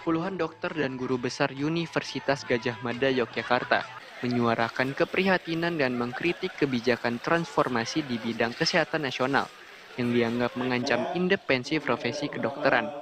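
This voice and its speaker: A man's voice: mid-range voice, moderate pace. A man reading a scripted news report as a reporter. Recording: crowd noise under voice-over. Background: crowd.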